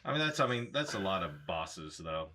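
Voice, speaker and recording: Bright, lower-pitched man in his thirties. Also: very animated, normal speed. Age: 30s. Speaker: reaction show co-host. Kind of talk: casual chat, episode discussion.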